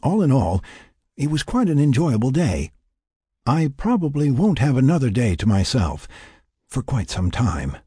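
A man voicing a reflective, formal scientist keeping an expedition journal in an audiobook, in measured, wordy phrases. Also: mid-range voice, very animated, dark tone, husky, dry recording, clean studio sound, pitched above the performer's own voice. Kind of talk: first-person audiobook narration.

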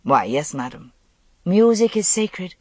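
A woman voicing a proud, sharp-tongued young priestess of legend, formal and assertive in her speech. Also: lowish voice, big pitch swings, a little slow, husky, no room sound, full character voice. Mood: defiant. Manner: assertive, formal archaic phrasing.

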